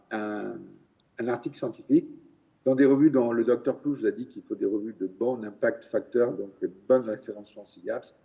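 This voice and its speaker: A man's voice: mid-range voice, gravelly, warm tone, husky, muffled recording. A middle-aged male doctor giving a conference presentation, hesitant, often repeating words as he searches for them. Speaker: physician giving a conference talk.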